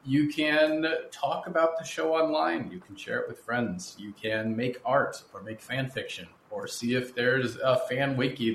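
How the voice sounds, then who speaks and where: A man hosting an interview in a conversational style, articulate and reflective, with wordy phrasing. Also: mid-range voice. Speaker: podcast host and fiction creator. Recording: roomy echo, clear background noise.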